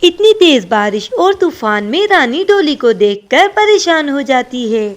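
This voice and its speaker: Woman narrator, high voice, animated storytelling, children's cartoon narration. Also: a little slow. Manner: expressive, storytelling.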